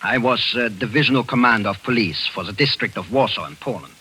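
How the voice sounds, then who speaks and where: A man's voice: mid-range voice, fast, bright, forceful tone, slight background noise, natural voice. An actor playing a cornered male former police commander, stammering and begging for his life, in a 1940s radio drama. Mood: evasive, frightened. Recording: old radio broadcast recording.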